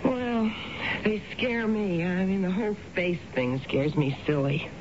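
A woman's voice: lowish voice, a little slow, lightly acted. Fretful middle-aged housewife, acted by a woman, lo-fi radio. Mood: nervous. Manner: fretful, stammering, argumentative. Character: nervous, bickering middle-aged housewife hooked on soap operas. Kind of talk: radio drama acting. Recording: dull lo-fi radio with faint noise.

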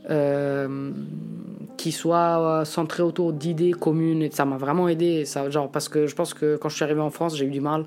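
A man in his late twenties from Italy, talking frankly and reflectively in an interview and often pausing to find his words. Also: a little high, little pitch movement, darkish tone. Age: late 20s. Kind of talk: candid personal testimony.

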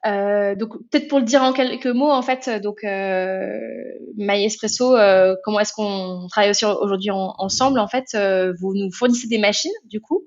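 A woman's voice: mid-range voice, fairly even intonation, moderate pace, dull audio. A woman hosting a casual business interview, friendly and hesitant, with many fillers. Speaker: host, company founder.

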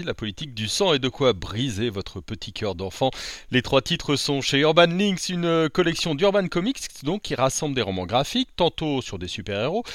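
A man's voice: fairly high pitch, no echo, studio quality. A man presenting a scripted podcast review segment, upbeat, with light asides and some hesitation. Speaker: podcast columnist.

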